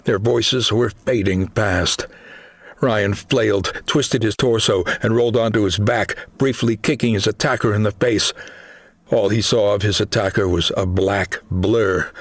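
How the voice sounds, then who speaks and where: A man with a low voice narrates a suspenseful action story. His delivery is deliberate and dramatic, like a scripted thriller audiobook. The sound is dry, with hardly any room in it. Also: no room sound.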